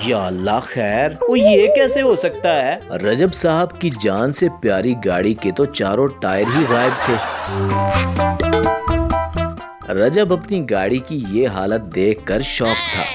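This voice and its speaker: A man's voice: mid-range voice, very animated, slow pace. A man giving scripted narration for a cartoon story. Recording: loud music behind the voice.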